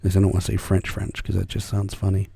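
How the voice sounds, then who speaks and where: An American man talking soft-spoken for ASMR, often slipping into a whisper, rambling and correcting himself as he goes. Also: low-pitched, monotone, fast, creaky, husky, no echo. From United States. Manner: meandering, self-correcting, chuckles softly. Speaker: ASMR creator.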